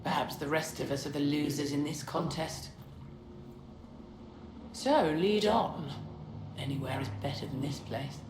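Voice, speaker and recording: An actress playing a haughty, bitter and distrustful prisoner in a video game. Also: female voice, sits low, unhurried, pauses often, reverberant, noisy background. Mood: bitter, resigned. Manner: scornful, imperious. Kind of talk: video game cutscene acting.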